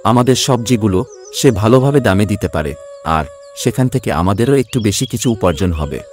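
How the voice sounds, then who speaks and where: A man voices a poor village farmer in a cartoon, with a lowish pitch. He is an earnest, good-natured family man, heard over music. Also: lively intonation, fast, darkish tone, close to an everyday voice. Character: hardworking poor vegetable farmer, doting husband and father. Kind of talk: Bengali cartoon voice acting.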